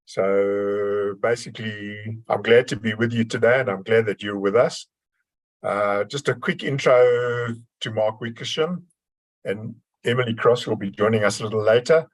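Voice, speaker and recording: A man's voice: lowish voice, normal speed. A man hosting a webinar, introducing the speaker in a casual, hesitant way with a dry joke. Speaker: webinar host.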